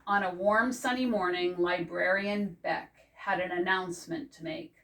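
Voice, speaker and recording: An older woman reading a children's picture book aloud as a librarian, in a measured, careful storytelling manner. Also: on the low side, fairly even intonation, a bit bright. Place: indoors before a green backdrop. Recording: distant microphone.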